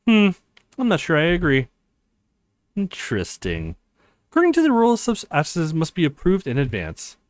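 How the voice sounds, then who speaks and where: A man voicing a skeptical, formal female professor in a visual novel, measured and coolly authoritative. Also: a little high, huge pitch swings, no room sound. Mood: cool, weighing.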